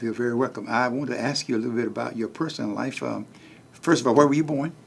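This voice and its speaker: A man, a pastor, hosting a televised interview, halting with many fillers. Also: mid-range voice, gravelly, a little hiss or hum. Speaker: pastor and show host.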